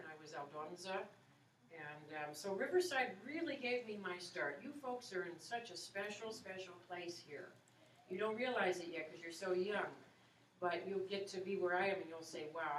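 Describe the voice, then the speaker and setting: A woman in her forties, an opera singer and voice teacher, chatting in an encouraging way with students and taking their questions. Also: fairly low pitch. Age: 40s. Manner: chatty, encouraging, many "you know"s. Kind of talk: casual talk and Q&A with students. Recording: echoey room, far from mic, faint hiss.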